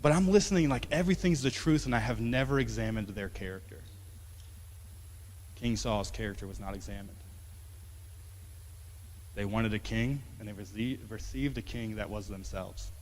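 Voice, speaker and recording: A man in his late twenties preaching a sermon as pastor, talking conversationally and swinging from emphatic to quiet. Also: lowish voice, moderate pace, pauses often, a little hiss or hum. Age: 20s. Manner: conversational, rising to emphatic then dropping soft. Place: church sanctuary. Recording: long church hall reverb.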